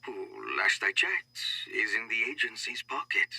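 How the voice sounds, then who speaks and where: An actor playing a cold, scheming agency official who speaks calmly and clipped. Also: male voice, medium pitch, normal speed, slightly rough, full character voice.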